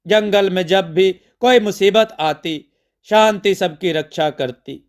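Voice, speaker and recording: A male storyteller with a distinctly high, smooth voice narrates a mythological tale. His phrasing is measured and deliberate, and the delivery is clean and resonant.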